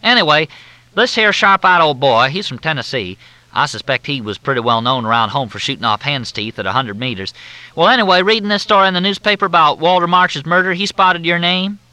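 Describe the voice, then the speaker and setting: A man's voice: on the high side, expressive, brisk, bright, forceful tone, no echo, pitched above the performer's own voice, poor audio. A man voicing a courteous Southern army major with a thick drawl that makes everything sound like a question. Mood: folksy, chatty.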